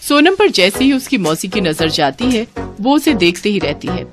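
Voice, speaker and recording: A woman's voice: medium pitch, sing-song, normal speed, music in the background. A woman narrating a scripted moral story as a storyteller.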